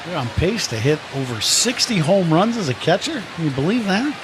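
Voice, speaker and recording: Higher-voiced man giving baseball color commentary. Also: very animated, fairly quick. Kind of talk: casual sports banter and commentary. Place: stadium broadcast booth. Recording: clear ambient ballpark noise behind.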